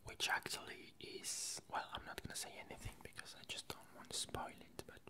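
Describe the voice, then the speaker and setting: An ASMR creator rambling casually in a soft, breathy, unvoiced whisper, halting and unhurried while searching for words. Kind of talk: whispered ASMR ramble about games and films.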